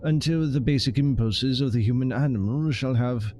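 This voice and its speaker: A man's voice: medium pitch, normal speed, darkish tone, a little hiss or hum. A man streaming a game, commenting casually and reading text aloud.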